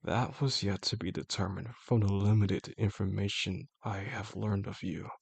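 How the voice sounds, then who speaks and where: A man acting as a possessive, obsessive male boss in an intimate audio drama. His voice is low and heavily creaky, with a husky rasp. He speaks slowly and deliberately, coaxing with calm menace and formal phrasing. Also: no echo. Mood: brooding, fascinated. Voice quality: gravelly, husky. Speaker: audio roleplay voice actor. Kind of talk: intimate scripted roleplay monologue.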